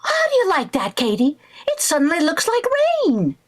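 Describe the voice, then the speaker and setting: A woman playing an excitable, scheming, flighty housewife in a 1940s-style radio comedy, theatrical and full of exclamations. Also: high voice, normal speed. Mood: coy, scheming. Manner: theatrical, exclamatory, mock-innocent. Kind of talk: 1940s radio sitcom acting.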